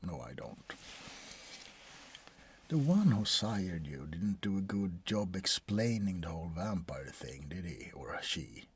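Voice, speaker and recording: Man playing a slow, deep-voiced bored vampire doctor. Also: slightly rough, heavily acted. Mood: dry, teasing. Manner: deadpan, hesitant, drawn-out, often dropping to a whisper. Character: bored, sardonic centuries-old male vampire doctor. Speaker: ASMR role-play performer. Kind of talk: soft-spoken ASMR role-play.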